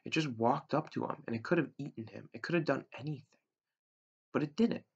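A man in his thirties with a gravelly, husky voice, reading a storybook aloud like a teacher to a class. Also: medium pitch, brisk. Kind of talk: read-aloud storytelling narration. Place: small room indoors at home. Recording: dull, lo-fi webcam sound.

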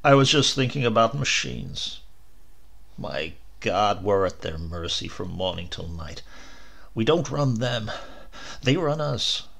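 A man's voice: on the low side, very animated, takes it slowly, gravelly, a bit bright, voice pushed down, exaggerated voice. A man voicing a weary, irritable working husband in a dramatised story reading, sighing and grumbling. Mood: weary, brooding.

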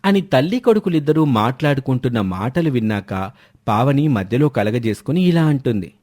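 A man's voice: medium pitch, expressive, moderate pace, a little mellow. A man reading a scripted moral story as the narrator.

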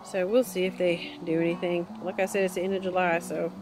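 A woman's voice: lowish voice, little pitch movement, fairly quick, low-quality recording. A woman chatting cheerfully as she walks through her backyard garden, filming with a handheld phone. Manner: chatty, cheerful, thinks aloud. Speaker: homesteader vlogger. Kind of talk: casual walking garden tour. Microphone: phone camera held while walking. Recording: guitar music underneath.

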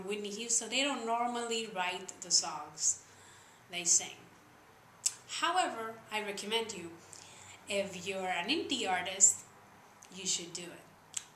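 Bright-voiced Spanish-accented young woman vlogging. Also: mid-range voice, roomy sound, a little hiss or hum, poor audio. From Spain. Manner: chatty and earnest, slightly uneven English phrasing. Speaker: singer-songwriter vlogger. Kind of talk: casual talking-to-camera vlog. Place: small room indoors.

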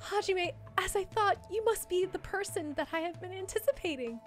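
Woman voicing a high, lilting, polite princess girl. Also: expressive, moderate pace, soft background music. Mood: earnest. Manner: formal, precise, exclamatory speech. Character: polite foreign princess girl, earnest, enthusiastic about Japanese dramas. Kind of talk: dramatic read of visual novel dialogue.

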